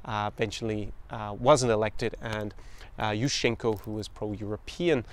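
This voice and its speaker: A man's voice: lowish voice, fairly even intonation, a little slow, clear, resonant tone. An Irish man in his thirties, hosting a podcast and telling a story from his travels in a chatty, anecdotal way. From Ireland. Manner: anecdotal, quotes others in voices, chuckles. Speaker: podcast host.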